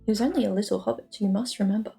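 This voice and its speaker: A woman's voice: mid-range voice, rapid-fire, gravelly, dark tone, husky. A woman streamer reading a storybook aloud as narrator. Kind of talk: storybook narration, read live. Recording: music under the voice.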